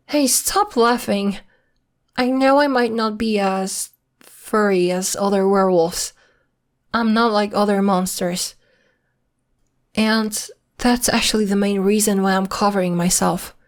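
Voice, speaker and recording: A woman acts out a young female half-werewolf for an intimate ASMR roleplay. She speaks very slowly at a medium pitch, gentle and a little hesitant. She comes across as polite, guarded and protective, explaining things earnestly to one listener. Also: lightly acted. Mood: reluctant, confessing.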